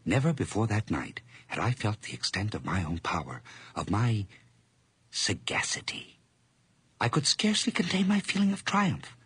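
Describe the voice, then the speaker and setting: An actor playing a sly, obsessive madman in a gothic radio drama, speaking theatrically and deliberately in a husky, breathy voice that often nears a whisper. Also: lowish voice, wildly swooping pitch, a bit bright, exaggerated voice. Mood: gloating, excited. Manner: theatrical, deliberate, lingering on repeated words. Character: sly, obsessive, gloating madman confessing a murder plot.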